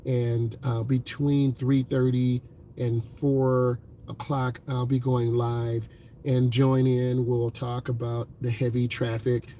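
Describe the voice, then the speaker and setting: A man's voice: medium pitch, level tone, slow, warm tone, slight background noise. Earnest man giving motivational driving advice. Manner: earnest, motivational, repeats points with fillers. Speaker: driving instructor. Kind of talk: vlog talking from a car. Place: in a car.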